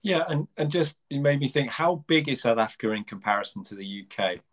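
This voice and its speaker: A man's voice: medium pitch, expressive, a little fast. A man interviewing a guest, asking curious questions that restart and trail off. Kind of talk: casual conversational interview.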